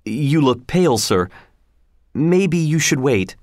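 A man's voice: fairly high pitch, big pitch swings, slow, darkish tone, no echo, clean studio sound. A man reading aloud, voicing a young servant who speaks respectfully and apologetically. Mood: deferential. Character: young male servant, loyal and deferential.

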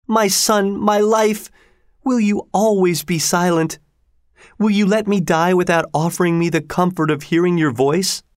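Man voicing a high-pitched melodramatic sorceress queen. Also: expressive, measured pace, a little mellow, dry recording, studio quality. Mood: pleading. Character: cruel, lovesick sorceress queen, pleading and commanding.

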